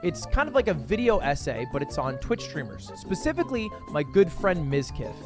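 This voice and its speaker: Brief: confident male YouTuber, higher pitch, soft music under the voice. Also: very animated, moderate pace. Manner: upbeat, confident. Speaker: YouTuber explaining his method. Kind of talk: casual explainer.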